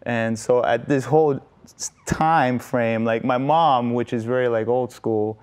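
Smooth-voiced young man telling stories. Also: medium pitch, fairly even intonation, a little slow. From Los Angeles. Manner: chatty, lots of fillers like "you know" and "like". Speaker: guest musician. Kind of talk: casual interview storytelling. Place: indoor TV studio set.

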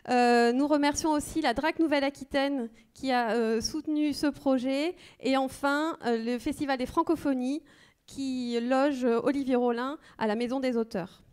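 A woman's voice: sits high, steady tone, moderate pace, a little mellow, smooth. A woman welcoming the audience and thanking partners on stage, with frequent hesitations. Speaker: event organizer. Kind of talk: introductory welcome speech. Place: theater stage.

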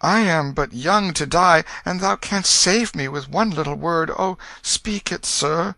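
A man's voice: on the high side, unhurried, creaky, sharp tone, no echo, voice pushed up, heavily acted. A man voicing a humble, earnest young pauper boy in an audiobook, meek and pleading in archaic speech. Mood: desperate pleading. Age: child figure. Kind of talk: audiobook character voice.

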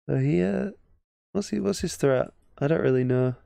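A man's voice: on the high side, gravelly, mellow, dry recording, studio quality. A man with an English accent giving casual, thinking-aloud game commentary as a chess streamer, often muttering half-finished thoughts. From England.

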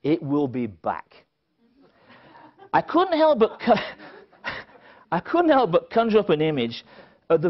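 A middle-aged man giving a prepared conference lecture, with wry, dry humorous asides and emphasis on quoted phrases. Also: fairly high pitch. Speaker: conference speaker. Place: indoor stage at a conference podium. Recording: slightly dull, band-limited event recording.

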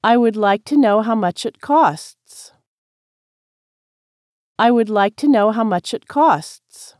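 A woman with an American accent reading English practice sentences clearly and carefully, repeating each one for learners to copy. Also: fairly high pitch, expressive, takes it slowly, a little mellow, dry recording, clean studio sound.

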